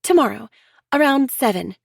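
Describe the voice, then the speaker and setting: A woman voicing an upbeat, insistent young woman who pushes for peace, lifting her voice into a bright, eager tone. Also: sits high, animated, normal speed, gravelly, no room sound, studio quality, voice pushed up, exaggerated voice. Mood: excited. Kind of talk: audiobook character voice.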